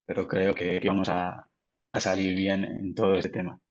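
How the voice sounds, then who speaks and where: A man's voice: low voice, completely flat delivery, moderate pace. A Portuguese man, a native Portuguese speaker with a Portuguese accent, presenting a technical webinar carefully, with frequent restarts. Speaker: product manager presenting. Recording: dull lo-fi audio.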